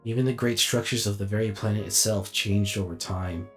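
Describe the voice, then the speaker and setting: Guy voicing a weary alien war robot thinking out loud, low voice, slow brooding formal delivery, music behind. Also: fairly even intonation. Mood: awed, reflective. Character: weary, reflective male giant alien robot warrior. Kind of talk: dramatic scripted inner monologue.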